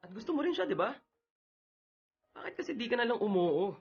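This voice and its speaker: A man's voice: high voice, sing-song, a little fast, creaky, husky, highs cut off, exaggerated voice. A man acting as a lovesick, evasive younger brother in a Filipino radio drama, speaking casually and hesitantly. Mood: defensive, wistful.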